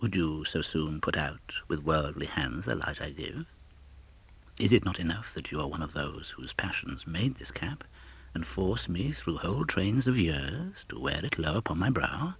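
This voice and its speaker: A man voicing a gentle, solemn, ageless spirit in an audiobook, soft, hushed and low, speaking with grave, measured calm. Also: creaky, breathy edge, full character voice, poor audio. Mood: gently reproachful.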